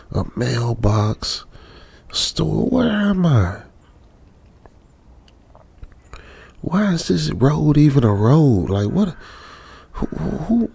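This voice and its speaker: A man's voice: mid-range voice, vocal fry, a little mellow, breathy edge, no echo, full character voice. An American man playing a stranded young trucker in a radio drama, uneasily muttering to himself in colloquial, repetitive phrases. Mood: alarmed, incredulous. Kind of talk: old-school radio drama monologue, improvised feel.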